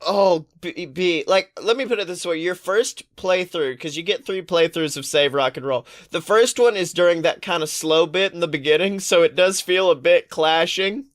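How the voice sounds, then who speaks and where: Male podcast co-host, slightly high voice, excitable and playful, casual comic storytelling. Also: normal speed, few pauses. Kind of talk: casual comedic chat.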